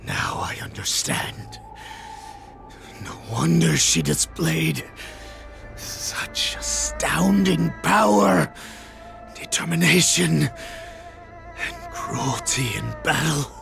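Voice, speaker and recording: Very creaky, venomous, contemptuous man. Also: a little high, big pitch swings, fairly bright tone, soft background music, full character voice. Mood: scornful, seething. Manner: drawn-out, venomous delivery. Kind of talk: dramatic video game voice acting.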